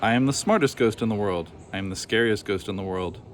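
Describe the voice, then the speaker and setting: A man's voice: lowish voice, fast talker, a little hiss or hum, natural voice. A man voicing a boastful, fearless young female ghost in a storybook, with a bragging, defiant manner.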